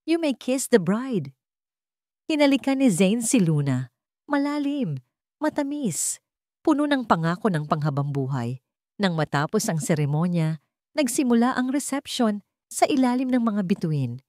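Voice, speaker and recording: A woman's voice: mid-range voice, big pitch swings, normal speed, darkish tone, no room sound, studio quality. A woman narrating a dramatic romance story in a sentimental, emphatic storytelling manner.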